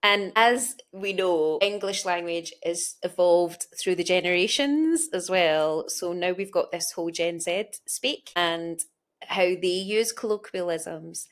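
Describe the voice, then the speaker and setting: A woman's voice: fairly low pitch, a little slow. A talkative woman with a Glasgow Scottish accent, explaining her points conversationally as an interview host. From Scotland.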